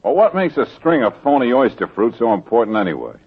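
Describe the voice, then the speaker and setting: Tough american radio detective, dry and slangy, kinda husky, dull old recording. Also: male voice, medium pitch, very animated, fast talker. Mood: sardonic. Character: tough, wisecracking male private investigator. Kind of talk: 1940s radio drama acting.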